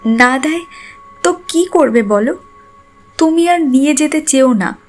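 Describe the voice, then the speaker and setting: A young married daughter, voiced by a woman in a storybook drama, proud and hurt. Her high voice is earnest and emotional, gently defiant, over soft music. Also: expressive, unhurried. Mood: resigned. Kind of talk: audio story acting.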